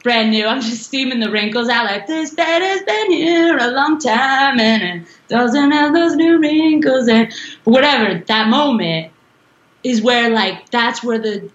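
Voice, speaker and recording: Giggly, rambling woman with a high voice. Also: lively intonation, measured pace, reverberant, dull audio. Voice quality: strong voice. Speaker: musician guest. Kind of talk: casual interview chat.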